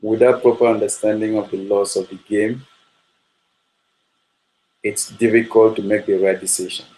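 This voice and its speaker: A man speaks in a low voice that barely rises or falls. He is a football coach, talking at a steady, measured pace with frequent ums and uhs, and he keeps circling back to the same points. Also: low-quality recording. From Nigeria. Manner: measured, repetitive, with frequent fillers. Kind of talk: spoken coaching talk.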